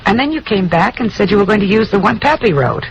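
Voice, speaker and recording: Sassy secretary, very lively intonation, pert and indignant, old radio drama, faint noise. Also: female voice, medium pitch, quick pace, vocal fry, highs cut off. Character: sassy, easily offended female office secretary. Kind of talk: 1940s-50s radio drama comic acting.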